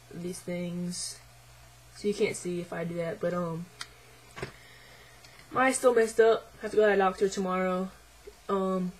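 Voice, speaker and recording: A young man talking hesitantly to camera in a casual video diary, his voice midway through deepening and a little unsettled, with many ums and likes. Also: poor audio. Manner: hesitant, lots of ums and likes, quiet and reflective. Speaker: vlogger.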